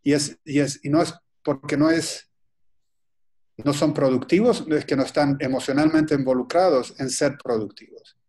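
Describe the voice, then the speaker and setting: A man from Chile presents in a webinar over a video call, his voice sitting a little higher than most men's. He talks in a halting, deliberate way, filling gaps with "eh" and restarting phrases as he works through his points. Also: steady tone, a little mellow. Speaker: guest presenter.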